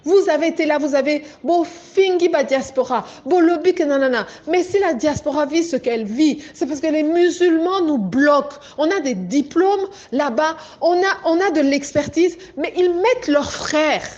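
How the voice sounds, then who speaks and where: A woman's voice: high-pitched, lively intonation, moderate pace, few pauses, darkish tone. A woman from Congo, giving an emphatic, pleading political monologue as an activist, often asking her listeners "ok?". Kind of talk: political monologue addressed to viewers. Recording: dull lo-fi recording.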